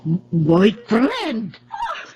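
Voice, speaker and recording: An actress playing an outspoken, nosy older Filipino aunt in a radio drama, exclaiming and reproaching. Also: female voice, a little high, wildly swooping pitch, very slow, muffled recording, crowd noise, exaggerated voice. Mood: shocked, disapproving.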